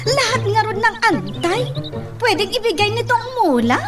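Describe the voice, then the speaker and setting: Coy, very high-voiced young shop girl. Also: big pitch swings, moderate pace, loud music behind the voice. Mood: coaxing, chirpy. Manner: coaxing, coy, mixes Tagalog and Ilocano. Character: sweet, coy young female shop worker, chatty. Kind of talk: Ilocano radio comedy-romance acting.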